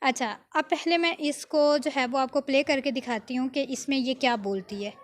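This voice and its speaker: A high-pitched woman talks through a software tutorial step by step. She is informal and keeps adding little check-in tags as she teaches. There is faint background noise on the recording. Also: normal speed. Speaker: video editing tutor.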